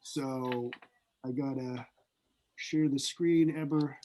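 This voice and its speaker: Relaxed man hosting an online class. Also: mid-range voice, slow, slight background noise. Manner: relaxed, conversational, uses filler words. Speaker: class host and moderator. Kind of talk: casual lecture introduction. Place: online video call. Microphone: video call.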